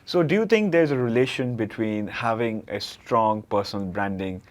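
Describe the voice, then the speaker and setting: A man's voice: on the low side, normal speed. A man hosting a podcast, asking questions in a hesitant way with many fillers. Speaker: podcast host. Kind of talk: casual interview chat.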